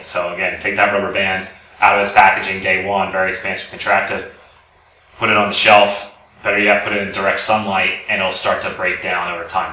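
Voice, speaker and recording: A man's voice: low-pitched, sharp tone. A man giving an informal technical lecture, explaining things and often correcting himself as he goes. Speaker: company executive giving a product lecture. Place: indoor lecture room with audience. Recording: very echoey room, distant mic, faint noise.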